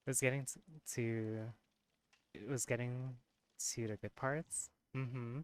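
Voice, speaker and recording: A live streamer with a tired, hoarse voice, chatting casually with viewers, giggling often and correcting themself mid-sentence. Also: medium pitch, fairly even intonation, unhurried. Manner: giggly, laughs often between remarks; rambling, self-correcting. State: voice worn and hoarse from long streaming.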